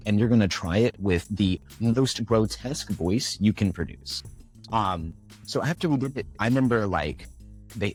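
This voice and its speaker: A young man talks about his voice work in a relaxed interview, telling stories with lively, swooping intonation. His voice sits a bit low, and he slips into quoted voices as he goes. Soft music plays faintly in the background. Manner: animated storyteller, acts out quoted voices. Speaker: voiceover artist, guest. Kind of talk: casual interview chat.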